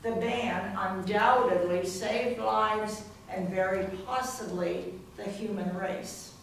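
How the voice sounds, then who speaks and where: Female stage narrator, slow formal scripted reading, very echoey and distant. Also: medium pitch, steady tone, gravelly. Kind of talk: scripted narration in readers theater. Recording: distant mic, very echoey room, faint noise.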